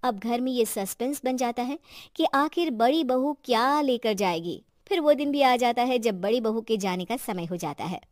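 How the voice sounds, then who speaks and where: A woman narrates a family moral story in a scripted storytelling style. Her voice sits fairly high, and the sound is dry and close. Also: moderate pace, hardly any pauses, dry recording.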